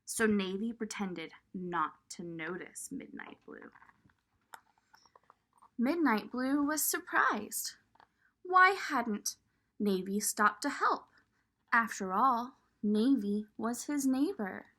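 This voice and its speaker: Woman, children's storybook read-aloud, unhurried pace, bright clear-cut tone, expressive, addressing young listeners. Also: on the high side. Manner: expressive, pausing to point things out to young listeners. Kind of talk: animated read-aloud of a picture book.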